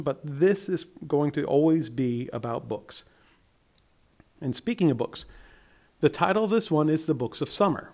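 A man's voice: mid-range voice, a little mellow. A man from Alabama with a Southern accent, chatting through a solo podcast in a friendly, rambling way, his voice worn from a tiring week. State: tired, voice worn. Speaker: podcast host.

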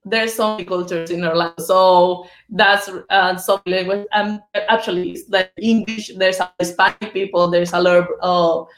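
A woman's voice: on the low side, steady tone, normal speed, hardly any pauses, roomy sound, poor audio. A woman who speaks Spanish natively, talking in English with a Spanish accent as a guest on a business podcast. She talks earnestly and simply. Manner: earnest, plain-spoken, speaks simply in a second language. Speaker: title company business development executive.

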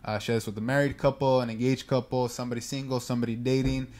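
Relaxed young man hosting a faith chat. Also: medium pitch, fast talker. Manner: relaxed, joking, encouraging. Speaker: co-host of a faith podcast.

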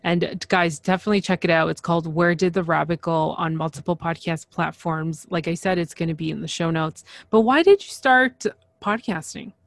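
A woman's voice: lowish voice, brisk, few pauses, no echo, studio quality. A woman hosting a podcast who greets her guest in an upbeat, welcoming way. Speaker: podcast host. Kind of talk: casual podcast interview.

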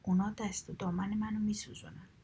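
A woman's voice: mid-range voice, little pitch movement, mellow, slight background noise, close to an everyday voice. A woman whispering the part of a kind, cheerful young woman in a storybook reading. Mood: gentle.

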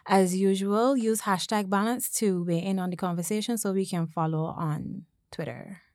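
A woman's voice: medium pitch, moderate pace, a little mellow, no room sound, studio quality. A woman in her twenties co-hosting a podcast, chatting in a laid-back, slangy way and teasing her co-host. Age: 20s. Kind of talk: casual podcast chat.

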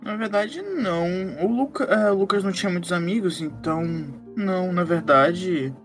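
A man's voice: sits high, animated, moderate pace, fairly bright tone, soft background music. A man with a Brazilian accent, a role-playing game player chatting casually in and out of character.

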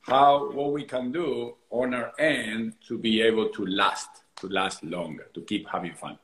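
A man's voice: lowish voice, unhurried, a bit bright, roomy sound, rough recording. A man, a movement coach explaining his work earnestly on a call, tagging sentences with "you know" and "right?". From Argentina. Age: 40s. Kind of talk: casual conversation.

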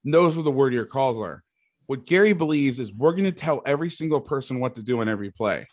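A middle-aged man, a football coach with a loud voice, talking through defensive schemes in a rambling, casual way, often restarting his sentences. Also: mid-range voice, lively intonation, fast, dry recording. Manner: rambling, restarts sentences, occasional mild swearing. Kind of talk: casual podcast chat, explaining defensive schemes.

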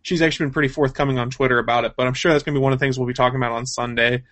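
A man's voice: medium pitch, steady tone, rapid-fire, a bit bright. A man hosting a casual news chat show, talking in a jokey, informal way. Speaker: show host.

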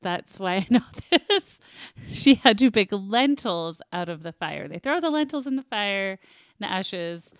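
A woman's voice: mid-range voice, animated, unhurried, dry recording, clean studio sound. A woman chatting casually as a contestant on a family quiz. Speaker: quiz contestant and show regular, a parent. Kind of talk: casual chat.

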